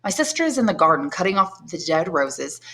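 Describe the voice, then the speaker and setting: A woman reading aloud, voicing an eager, warm young male officer. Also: on the low side, big pitch swings, brisk, heavily acted.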